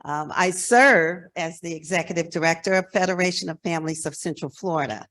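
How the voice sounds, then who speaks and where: An American woman in her sixties with a slightly low voice speaks as a presenter to a group. She talks frankly and conversationally, stressing her key points with conviction. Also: a little fast, fairly bright tone. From New Jersey, United States. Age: 60s. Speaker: presenter, nonprofit executive director. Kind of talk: conference presentation.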